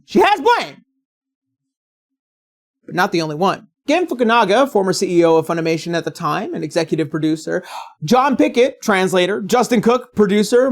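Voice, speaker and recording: A woman delivering scripted, opinionated commentary as a video essayist, in a sarcastic, blunt and profane manner. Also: fairly high pitch.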